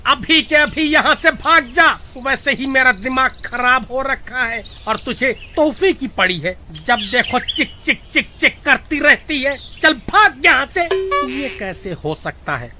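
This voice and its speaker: A man voicing an excitable, whiny village man in a horror cartoon. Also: very high-pitched, unhurried, hardly any pauses. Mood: angry, irritated. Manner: whiny, exclaiming, agitated. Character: panicky, irritable village man, pleading and excitable. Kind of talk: animated story acting. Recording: faint music behind the voice.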